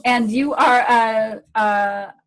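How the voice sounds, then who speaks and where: A woman's voice: fairly high pitch, fairly even intonation, extremely slow. A woman hosting a casual video call Q&A as a medical director, chatty and friendly with rambling restarts.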